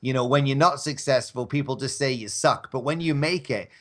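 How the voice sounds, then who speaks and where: A man's voice: mid-range voice, brisk, fairly bright tone. A man as a podcast guest in casual chat, rambling conversationally. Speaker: podcast guest, radio drama actor.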